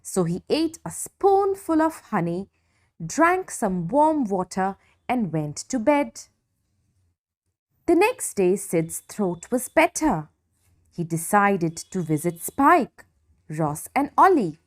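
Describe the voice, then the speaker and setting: A woman narrates a storybook for young children at a very unhurried pace. Her intonation is lively and varied, with deliberate dramatic emphasis. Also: mid-range voice. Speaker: storybook reader.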